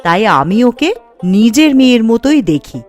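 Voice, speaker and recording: A woman's voice: fairly high pitch, normal speed, faint music behind. A woman playing a kind-hearted but firm village housewife in a cartoon. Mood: firm, chiding. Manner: expressive, chiding. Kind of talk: Bengali fairy-tale cartoon dialogue.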